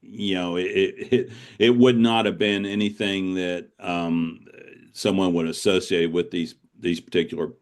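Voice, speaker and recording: A man's voice: low-pitched, little pitch movement, a little slow. A middle-aged man speaking as an author in a casual, reflective interview, circling back as he explains.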